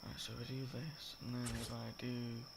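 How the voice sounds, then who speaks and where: A croaky, slightly sick young man rambling through a game-building tutorial, often correcting himself. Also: mid-range voice, little pitch movement, moderate pace. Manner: rambling, self-correcting, filler-heavy. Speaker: gaming tutorial creator. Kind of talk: casual gameplay tutorial commentary. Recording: loud game noise behind. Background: game sound effects and clicks.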